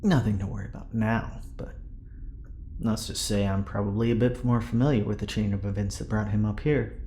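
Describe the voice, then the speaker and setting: A man playing a guarded man giving cryptic, hushed warnings in an audio drama. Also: on the low side, steady tone, brisk, a little hiss or hum, lightly acted. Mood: quiet warning.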